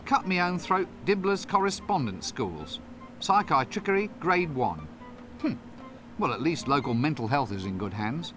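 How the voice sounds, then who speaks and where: An actor playing a bumbling, cowardly, wisecracking wizard in an adventure game, with a British accent and exasperated, sarcastic delivery. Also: male voice, a little high, very animated, moderate pace, sharp tone. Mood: wry. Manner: wry, sarcastic asides. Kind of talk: point-and-click adventure game voice acting. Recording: game music behind the voice.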